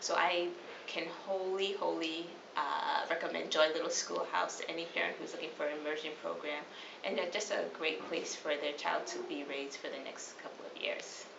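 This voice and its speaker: A woman's voice: low voice, steady tone, normal speed, creaky. A woman speaking enthusiastically as a parent giving a testimonial, with some fillers. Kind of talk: casual testimonial. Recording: echoey dull recording, ambient noise.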